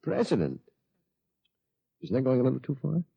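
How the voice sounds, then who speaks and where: A man's voice: mid-range voice, wildly swooping pitch, normal speed, gravelly, breathy edge, dry recording, exaggerated voice. A man acting in a 1950s radio drama as an anxious, doubtful middle-aged father, hesitant and easily flustered. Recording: muffled, dry 1950s radio recording.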